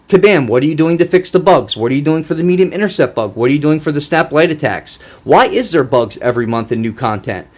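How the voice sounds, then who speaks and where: A man hosting a casual gaming read-through with commentary, speaking in a blunt, exasperated way with plenty of swearing. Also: fairly high pitch. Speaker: gaming video host.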